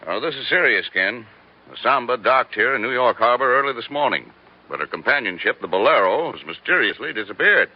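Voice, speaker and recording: An actor playing a serious, plain-spoken intelligence chief in an old radio drama, grave and businesslike. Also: male voice, medium pitch, animated, fairly quick, natural voice. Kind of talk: 1940s radio drama acting. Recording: dull old radio recording.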